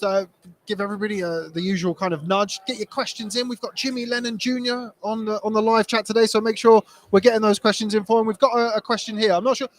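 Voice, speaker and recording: A man with a high voice hosts a live boxing show and reads out viewer questions. He rattles along quickly and loosely, full of fillers and small restarts. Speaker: co-host of a boxing news livestream. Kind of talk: livestream hosting, reading viewer questions.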